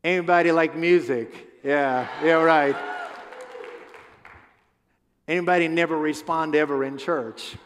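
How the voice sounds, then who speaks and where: A man's voice: a little high, normal speed, a little hiss or hum. A man in his fifties preaching a conversational sermon on a church stage through a headset mic, asking the crowd questions and often restarting his words. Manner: animated, gestures a lot while pacing the stage. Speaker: pastor. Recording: long hall reverb.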